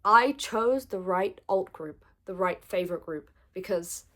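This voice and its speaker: A young woman talks in a chatty, gushing way, with her voice still rough and gravelly from a weekend of losing it. Her tone lifts into bursts of excitement as she talks casually to her viewers, at a middle pitch for a woman. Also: measured pace. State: hoarse, gravelly from lost voice. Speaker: vlogger. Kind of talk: casual vlog chat.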